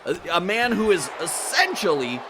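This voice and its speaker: A man's voice: sits high, big pitch swings, normal speed, background noise. A man doing color commentary on wrestling, sarcastic and jokey, playing up mock outrage.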